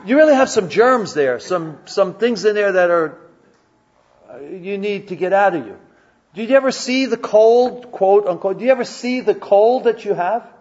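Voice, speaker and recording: A man's voice: sits high, lively intonation, moderate pace, darkish tone, muffled recording. A man preaching a sermon in a church, often repeating and restarting his words and asking the congregation questions. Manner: repetitive stammering restarts, conversational questions to the congregation. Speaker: pastor.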